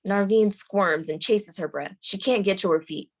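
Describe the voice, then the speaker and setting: A woman's voice: medium pitch, moderate pace. A woman reading screenplay stage directions as the narrator at a table read.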